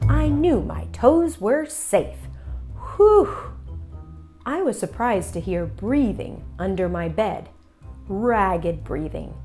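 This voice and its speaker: A woman reading a children's picture book aloud as an expressive, theatrical narrator with dramatic pauses and emphasis. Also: medium pitch. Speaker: children's story reader.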